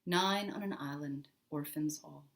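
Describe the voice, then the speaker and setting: Woman reading as a gruff, exasperated older boy. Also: low voice, a little slow. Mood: gruff, angry. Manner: firm, insistent. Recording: roomy, echoing indoor sound.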